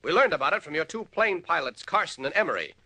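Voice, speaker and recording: An actor playing a confident, accusing newspaper reporter with an American accent in a crisp 1940s radio serial style. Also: male voice, fairly high pitch, expressive, quick pace, pushed and bright, a little hiss or hum, exaggerated voice. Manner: crisp, assertive.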